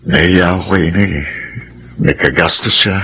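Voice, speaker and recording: A man's voice: low voice, unhurried, sharp tone, breathy edge, exaggerated voice. A man playing a humble, earnest grown son in a radio drama, with a Filipino accent. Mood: sheepish, remorseful. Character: remorseful, grateful grown son.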